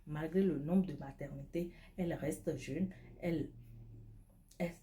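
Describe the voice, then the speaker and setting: A woman's voice: low voice, a little slow, dark tone, a little hiss or hum. A woman whose first language is not French, giving emphatic, preachy beauty and self-care advice straight to her viewers. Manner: preachy, emphatic, addresses viewer as tu, repeats key points. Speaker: beauty advice vlogger selling skincare products. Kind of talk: direct-to-camera advice talk.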